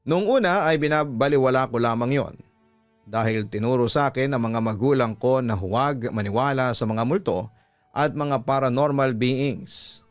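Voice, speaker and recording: A man's voice: medium pitch, moderate pace, no echo. A man with a Filipino accent reading a listener's letter as a radio-style storytelling narration. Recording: music playing behind the voice. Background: background music.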